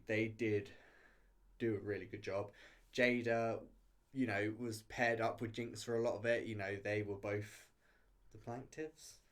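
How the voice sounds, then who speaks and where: A man giving a casual, chatty video review, talking in a hesitant, rambling way with frequent hedging and self-interruption. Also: on the low side. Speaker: reality show reviewer.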